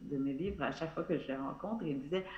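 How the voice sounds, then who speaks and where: A woman whose first language is Vietnamese speaks on a festival panel in a halting, thoughtful way, restarting her phrases often. The sound carries the echo of a large space and is slightly muffled. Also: low voice. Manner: hesitant, many fillers and restarts. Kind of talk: live panel discussion. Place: theatre stage. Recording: roomy, dull sound.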